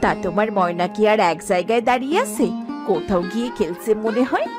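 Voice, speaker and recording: A woman's voice: medium pitch, lively intonation, fast, music in the background, natural voice. A woman voicing a harried village mother and wife in a cartoon, chiding and caring in a homely way. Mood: scolding. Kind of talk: cartoon voice acting.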